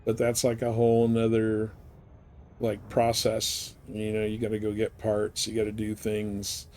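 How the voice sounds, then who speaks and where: Man, low voice, casual game streamer, informal rambling, noisy background ambience. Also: completely flat delivery, measured pace, a little mellow. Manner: rambling, informal, thinking aloud. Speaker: game livestreamer. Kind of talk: casual chat while playing a space game.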